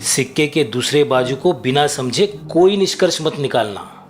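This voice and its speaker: A man voicing a wise, kindly senior schoolteacher in a cartoon, giving measured, encouraging advice. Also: medium pitch, animated, close to an everyday voice. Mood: warm, advising. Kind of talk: cartoon voice acting.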